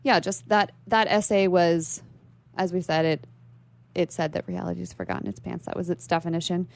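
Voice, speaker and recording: A woman with a somewhat low-pitched voice speaks as a radio guest. She explains things informally, as in an interview. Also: steady tone, moderate pace, creaky.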